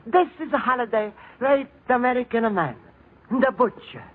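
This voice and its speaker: An actor playing a cheerful, naive Italian immigrant in a 1940s radio comedy, speaking earnest broken English with an Italian accent. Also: male voice, very high-pitched, moderate pace. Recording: tinny, noisy 1940s radio recording.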